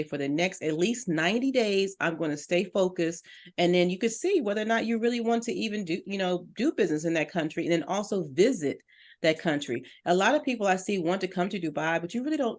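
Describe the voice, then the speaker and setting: Earnest middle-aged woman coaching listeners. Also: lowish voice. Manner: earnest, direct and encouraging, addresses listeners as "you". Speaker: business coach guest. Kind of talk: motivational talk-show advice.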